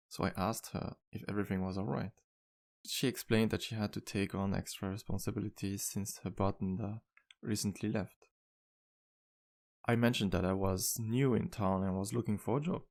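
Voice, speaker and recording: A man playing a charming, modest vampire bartender in an intimate ASMR roleplay, speaking gently with courteous, slightly formal phrasing. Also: lowish voice, gravelly. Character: charming, polite, modest male vampire bartender with guilty past. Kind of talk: ASMR roleplay, intimate one-sided dialogue.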